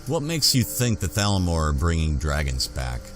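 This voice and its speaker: A man's voice: extremely low pitch, very animated, normal speed, soft background music. A man doing roleplay commentary as he plays a video game, talking conversationally and in character. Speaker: gamer playing in character.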